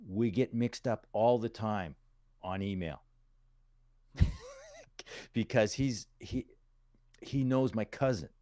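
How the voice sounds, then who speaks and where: A man's voice: lowish voice, takes it slowly, pauses often, warm tone, no echo, clean studio sound. A middle-aged man from Calgary, a musician guest, telling stories in a folksy, plain-spoken way. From Calgary, Alberta, Canada. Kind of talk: casual interview storytelling.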